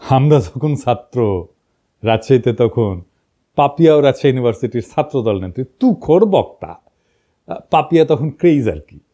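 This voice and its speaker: A man's voice: mid-range voice, lively intonation, moderate pace, warm tone. A middle-aged man with a northern Bangladeshi accent, giving informal, mocking political commentary full of stories and rhetorical questions. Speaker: political commentator, online host.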